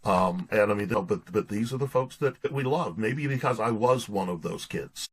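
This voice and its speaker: A middle-aged American man speaks in a fairly low, husky voice. He is an earnest interview guest who talks in anecdotes, voicing the dialogue as he goes. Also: animated, normal speed. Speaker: interview guest, author and pastor. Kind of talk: conversational personal storytelling.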